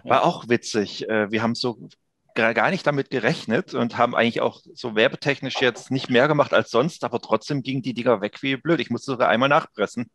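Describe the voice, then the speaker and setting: A man's voice: mid-range voice, a little fast, a bit bright, dry recording. A man chatting casually as an interview guest. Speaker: interview guest, audio drama producer. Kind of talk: casual chat.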